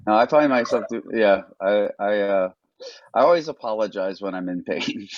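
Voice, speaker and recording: A man talking as a guest over a video call, hesitant and halting, often repeating words. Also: fairly low pitch, no echo, dull audio. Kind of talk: casual conversation on a video call.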